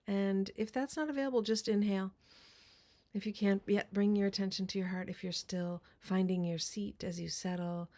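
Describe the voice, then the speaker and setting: A woman leading a guided meditation in a soft, breathy, deliberate voice with long pauses. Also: lowish voice.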